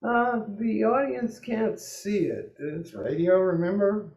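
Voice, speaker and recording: An actor playing an exasperated 1940s radio announcer who hosts the show in a theatrical way. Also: male voice, high-pitched, slow, a little mellow, highs cut off. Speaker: host. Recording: echoey room with music behind.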